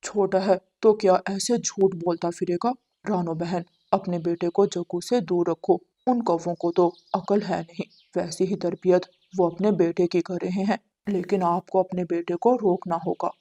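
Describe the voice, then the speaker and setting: A woman's voice: fairly low pitch, fairly even intonation, a little slow, few pauses, dark tone, pitched below the performer's own voice. A woman voicing an aggrieved, fussy uncle parrot in a cartoon, earnest and complaining. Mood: indignant.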